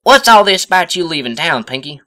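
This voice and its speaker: A man's voice: on the high side, very animated, fairly quick, bright, forceful tone, voice pushed up. A man voicing a plain-spoken, loyal female cowgirl cartoon pony, folksy and forthright, in a storybook reading. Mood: indignant.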